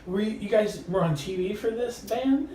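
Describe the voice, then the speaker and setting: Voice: mid-range voice, normal speed, background noise. A podcast host who chimes in with short reactions and steers the talk with questions. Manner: brief interjections, guiding questions. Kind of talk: casual interview. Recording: roomy, mic at a distance.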